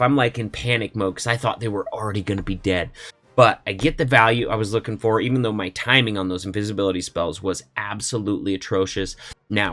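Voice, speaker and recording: A man with a head cold and a sniffly voice, giving relaxed, chatty commentary over his own game attacks. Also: medium pitch. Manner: relaxed, chatty, says "man" and "all right?" often. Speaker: gaming YouTuber. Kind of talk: casual gameplay commentary.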